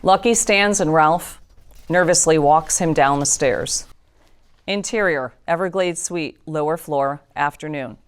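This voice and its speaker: Woman reading out stage directions from a tv script, really low voice for a lady. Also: unhurried, clean tone. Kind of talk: scripted screenplay narration.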